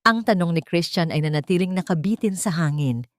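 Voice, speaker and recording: Low-voiced Filipino woman narrating, very dry sound. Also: lively intonation, a little fast, no room sound. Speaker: storyteller, narrator. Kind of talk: scripted dramatic audio story narration.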